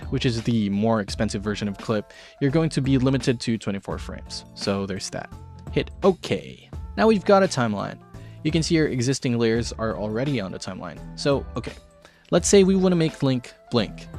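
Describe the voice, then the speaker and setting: A man with a mid-pitched voice narrates a casual software and drawing tutorial. He is chatty and informal, with a self-deprecating streak, talking like the host of an online art show. Music plays behind his voice. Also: big pitch swings, moderate pace. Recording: background music under voice.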